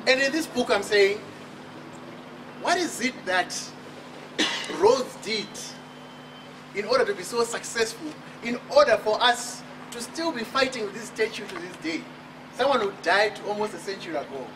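High-voiced Zimbabwean man speaking outdoors. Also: expressive, normal speed. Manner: emphatic, rhetorical questions. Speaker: author and speaker. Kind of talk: motivational speech at a book launch. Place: outdoor terrace at night. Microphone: far camera. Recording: distant camera with outdoor noise.

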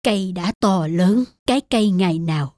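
A wistful older gentleman of a cartoon drama, voiced by a man, speaks as someone returning to a place of his past. Music plays clearly behind him. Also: high-pitched, a little fast, close to an everyday voice. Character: wistful ageing father revisiting his old home. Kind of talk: cartoon dubbing.

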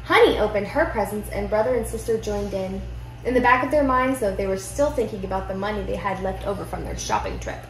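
A young woman reads a children's picture book aloud with expressive storytelling in a living room. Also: medium pitch, fairly quick. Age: young adult. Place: indoor living room at home. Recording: roomy echo, music behind.

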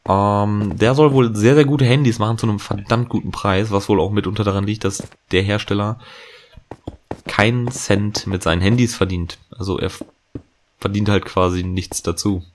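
A man's voice: on the low side, fairly even intonation, moderate pace, a little hiss or hum. A man chatting casually over gameplay as the host of his let's play, rambling with many fillers and restarts. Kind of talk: casual gaming commentary.

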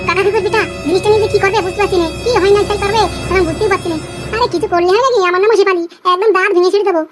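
A nagging young village wife for a comedy cartoon. The pitched-up voice is extremely high and very fast, scolding, with strong music behind. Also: male voice, steady tone. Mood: grumbling, scolding. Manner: whining, scolding. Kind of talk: amateur comedy cartoon dubbing.

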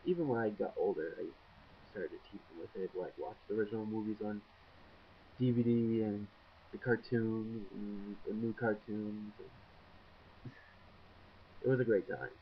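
A man's voice: on the low side, unhurried, long pauses, a little hiss or hum. A man in his twenties, shy and rambling with lots of ums, talking to a webcam in a dim room. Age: 20s. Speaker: vlogger. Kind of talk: casual video blog. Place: small dim room indoors, window behind. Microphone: built-in webcam mic. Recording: dull lo-fi webcam audio.

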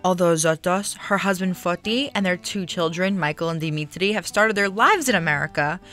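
A woman's voice: fairly low pitch, a little fast, fairly bright tone. A woman reading scripted voice-over narration for a documentary.

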